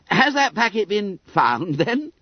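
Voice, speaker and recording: An incredulous schoolboy, played by an adult narrator, raised in pitch and brisk with doubt. The manner is jeering and questioning, in a storybook reading with slightly dull sound. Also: sing-song, dry recording. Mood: puzzled, demanding. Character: sceptical schoolboys, incredulous and mocking.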